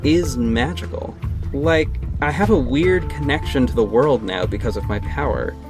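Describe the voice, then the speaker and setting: A man's voice: medium pitch, normal speed, over music, lightly acted. A man playing a wealthy orphaned young hero in an audio drama, guarded and earnest, with dry sarcasm and a defensive edge. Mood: reflective. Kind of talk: first-person audio drama narration and dialogue.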